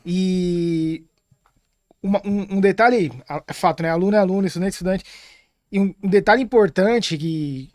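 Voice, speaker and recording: Male guest, high voice, thoughtful, halting restarts, dry close sound. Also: darkish tone, no room sound. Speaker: podcast guest, acupuncturist. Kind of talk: casual podcast chat.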